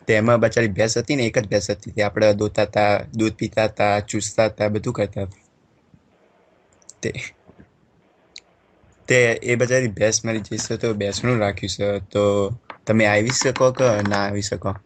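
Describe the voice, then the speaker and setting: A man's voice: on the low side, little pitch movement, moderate pace. A man with a colloquial Gujarati accent making a prank phone call, insistent and mock-earnest. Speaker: prank caller.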